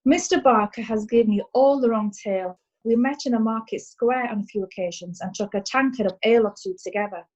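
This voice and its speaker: A woman's voice: a little high, fairly quick, few pauses, a little mellow, dull audio, close to an everyday voice. A woman playing a deferential farmer's wife answering a judge in a period courtroom radio play. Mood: earnest. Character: plain-spoken farmer's wife. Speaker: defendant.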